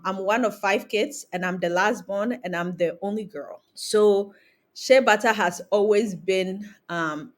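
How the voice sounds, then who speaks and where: A woman in her thirties from Ghana, a guest on a remote podcast interview, telling her career story in a measured way with frequent ums. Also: mid-range voice. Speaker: guest, cosmetics founder. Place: home in Accra, remote call. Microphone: remote call recording.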